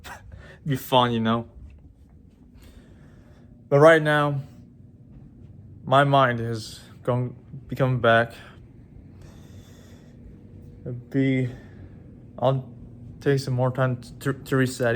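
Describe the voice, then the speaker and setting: A man in his twenties talking casually to his viewers in a halting way, with many restarts and repeated words. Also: medium pitch, fairly bright tone. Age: 20s. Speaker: vlogger. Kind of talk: casual vlog talk to viewers.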